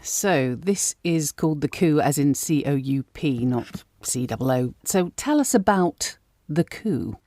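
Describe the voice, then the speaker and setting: A woman's voice: low voice, lively intonation, a little slow, dark tone, dry recording, clean studio sound. A woman hosting a podcast, interviewing a guest in a conversational style.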